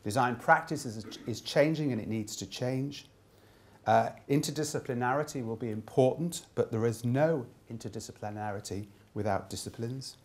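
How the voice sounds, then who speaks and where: A man in his fifties delivering a keynote speech, summing up from notes and often halting to repeat words. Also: medium pitch, darkish tone. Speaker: keynote speaker summing up a design conference. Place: indoor room with plain wall.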